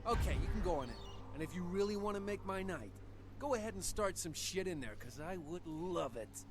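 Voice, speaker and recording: An actor playing a cocky thug guard in a video game, taunting in casual street talk and itching for a fight. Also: male voice, sits high, lively intonation, a little fast, slight background noise. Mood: cocky, goading. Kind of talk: video game character dialogue.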